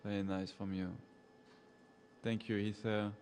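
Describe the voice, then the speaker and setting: A man's voice: lowish voice, measured pace, slight background noise. A man with a French accent, a safari guide casually chatting with live viewers and reading their comments aloud. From French-speaking. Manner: relaxed and chatty, laughs often, answers viewers by name.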